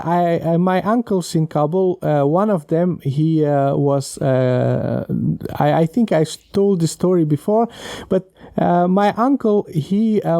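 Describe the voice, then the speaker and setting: Male podcast panelist, mellow and warm, very dry sound, deliberate halting storyteller. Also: fairly high pitch, no room sound. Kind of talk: explanatory storytelling.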